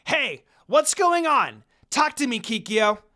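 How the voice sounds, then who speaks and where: A man's voice: very high-pitched, sing-song, slow, pitched above the performer's own voice, full character voice. A man voicing a brash, confused young half-demon with a hot temper in a fantasy story reading. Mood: angry shouting. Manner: loud, impulsive.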